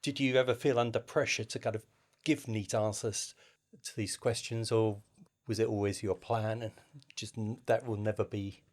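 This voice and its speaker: A man working as an interviewer, putting measured questions in a literary discussion. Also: on the low side, fairly even intonation.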